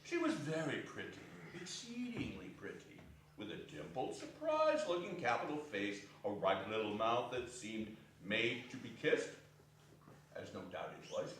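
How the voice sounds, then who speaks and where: A man's voice: mid-range voice, sing-song, moderate pace, a bit bright. A man narrating a literary story in a dramatic reading. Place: hall of arts center. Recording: echoey hall, distant mic, faint noise.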